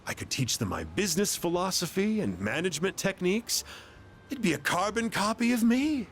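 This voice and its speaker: A man's voice: high-pitched, very animated, fairly quick, gravelly, a little hiss or hum, exaggerated voice. A man playing a smug, boastful middle-aged businessman with an oily manner in a video game. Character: smug, greedy middle-aged businessman flaunting his wealth. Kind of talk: theatrical video game monologue.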